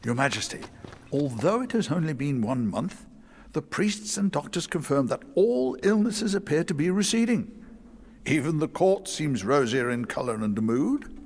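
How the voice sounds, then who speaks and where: A man's voice: on the high side, big pitch swings, moderate pace, a little mellow, a little hiss or hum. An actor playing a shrewd, deferential royal court advisor in an audio drama, formal and wry in his delivery.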